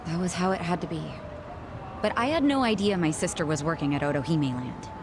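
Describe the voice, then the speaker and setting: A woman's voice: lowish voice, fairly quick, background noise, close to an everyday voice. A woman playing a blunt, guarded young cabaret club bartender in an English video game dub, frank and curt. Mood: frank, explanatory.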